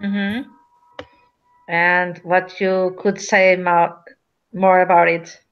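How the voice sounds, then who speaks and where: A young woman from Portugal talks slowly in English with a Portuguese accent, stopping often to find the right word and apologizing along the way. The recording is dull and lo-fi. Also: on the low side, flat delivery. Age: young adult. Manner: halting, searching for words, apologetic. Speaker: student in a discussion group. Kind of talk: casual class discussion. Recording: dull lo-fi audio.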